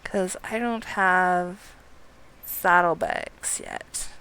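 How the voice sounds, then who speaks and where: A woman's voice: lowish voice, drawn-out words, pushed and bright, slight background noise. A woman game streamer giving casual live gameplay commentary in a chatty, self-deprecating way.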